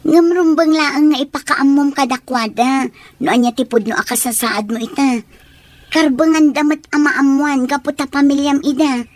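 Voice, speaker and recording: A supportive friend acted by a woman with a high-pitched voice. She sounds earnest and caring, delivering lines in the manner of an audio drama. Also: normal speed, no echo, natural voice. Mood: concerned. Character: concerned, supportive female friend.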